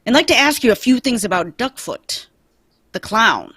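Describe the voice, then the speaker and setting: A woman's voice: a little high, big pitch swings, normal speed, bright, forceful tone, breathy edge, no room sound, heavily acted. Woman reading a blunt tough detective. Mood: stern. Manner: firm, questioning. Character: tough male police detective, blunt and dogged. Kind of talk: live old-time radio table read.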